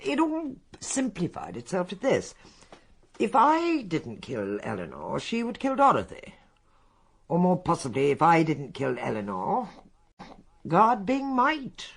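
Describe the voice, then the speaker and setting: An actress playing a shrewd, eccentric old English lady in a radio drama, speaking precisely and deliberately with a husky voice. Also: low-pitched, exaggerated voice. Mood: coolly philosophical. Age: older. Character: shrewd, eccentric old lady who coolly confesses to poisoning. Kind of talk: British radio drama acting.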